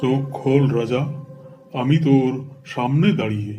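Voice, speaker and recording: A man's voice: medium pitch, normal speed, music in the background, natural voice. A man voicing a benevolent, commanding great god in a cartoon. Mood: solemn, commanding. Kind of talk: cartoon voice acting.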